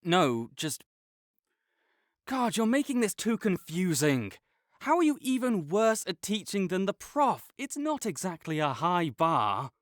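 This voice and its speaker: A man's voice: sits high, sing-song, a little slow, no echo, clean studio sound. A young man voicing a competitive male student rival who teases and bosses, then turns flustered and defensive. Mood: bossy. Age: young adult. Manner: teasing and bossy, gets flustered and defensive. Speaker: voice actor in an audio roleplay. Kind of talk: audio roleplay acting.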